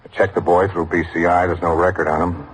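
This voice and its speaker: An actor playing a skeptical police detective lieutenant in an old radio drama, reporting in a clipped, matter-of-fact way. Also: male voice, low-pitched, little pitch movement, quick pace, close to an everyday voice. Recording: noisy vintage radio drama recording.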